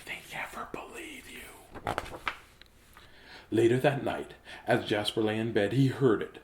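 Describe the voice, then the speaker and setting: A man's voice: on the low side, measured pace, darkish tone, a little hiss or hum. A man reading a children's bedtime story theatrically, slipping into whispers and repeating words for drama.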